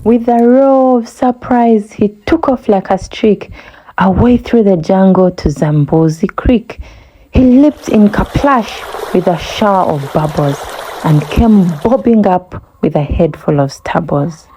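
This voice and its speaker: A woman narrating a rhyming children's storybook aloud in a measured, expressive way. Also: mid-range voice, husky, rough recording.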